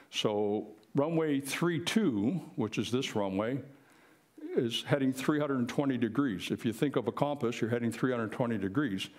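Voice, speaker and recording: A man's voice: medium pitch, darkish tone. An older man speaking plainly and explaining things in an informal presentation to a live audience. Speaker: presenter, retired air traffic controller.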